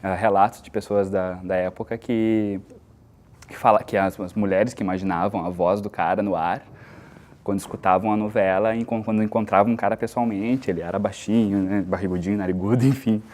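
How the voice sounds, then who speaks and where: A man's voice: lowish voice, steady tone, brisk, darkish tone. A young man talking informally in an interview as an actor.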